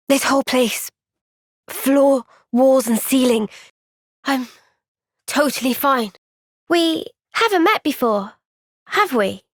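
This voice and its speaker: An actress voicing a young woman soldier in a game cutscene, soft-spoken and caring. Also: high-pitched, no room sound, studio quality.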